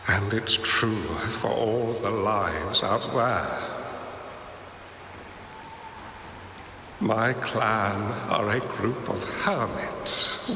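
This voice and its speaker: An elderly, frail strategist from a video game, gentle and solemn. The voice is gravelly and very slow, reflective as it goes. It sits in long reverb with noisy ambience around it. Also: male voice, fairly low pitch. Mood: solemn reflection. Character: frail old male strategist hermit, calm and fatherly. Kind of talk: solemn dramatic game monologue.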